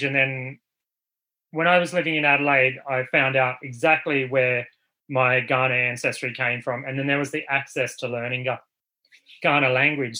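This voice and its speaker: A man's voice: mid-range voice, sharp tone, low-quality recording. A man from Australia speaking as an artist guest in a casual panel talk, thoughtful and given to fillers and restarts.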